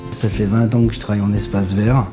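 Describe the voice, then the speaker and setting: A man's voice: fairly low pitch, flat delivery, quick pace, music in the background. A man in his forties speaking plainly and a little hesitantly in a documentary interview. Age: 40s. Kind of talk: documentary testimony.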